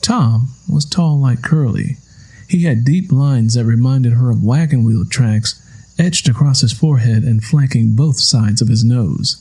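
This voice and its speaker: A man's voice: mid-range voice, measured pace, dark tone, breathy edge, poor audio. A man reading a story aloud as scripted audiobook narration.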